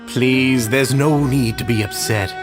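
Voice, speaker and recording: An actor playing a falsely polite villain in a video game, deferential on the surface and condescending underneath. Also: male voice, mid-range voice, measured pace, music in the background, close to an everyday voice. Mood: feigned politeness. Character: smooth-talking, falsely polite villainous society leader.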